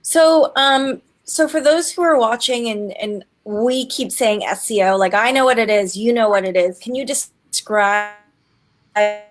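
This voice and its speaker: A woman's voice: fairly high pitch, a little slow. A woman in her forties hosting an online interview show. She talks in a bubbly, chatty way with frequent "um" fillers. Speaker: interview host and business coach.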